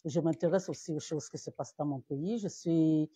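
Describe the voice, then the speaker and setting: A woman's voice: sits low, normal speed, mellow, husky, no echo, highs cut off. A woman pastor from Cameroon preaching in a live-streamed sermon, repeating words and rising to emphatic peaks.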